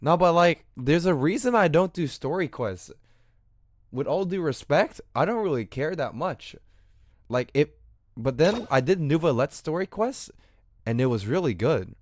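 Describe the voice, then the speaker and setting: A man's voice: a little high, lively intonation, a little slow, no echo. A young man streaming a video game, chatting casually and rambling in slangy, sweary speech. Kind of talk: casual livestream chat while gaming. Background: game music.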